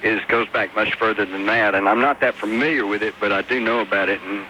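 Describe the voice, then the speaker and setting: Male talk radio guest, mature, crisp bright tone, conversational, faintly noisy shortwave tape. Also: lowish voice, steady tone, a little fast. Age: middle-aged or older. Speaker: guest author on a radio call-in show.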